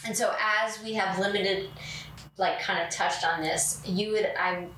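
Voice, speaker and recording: A woman hosting a podcast in a casual chat, with a soothing, even cadence and many fillers. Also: medium pitch, unhurried. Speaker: podcast host. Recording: roomy, distant mic, faint noise.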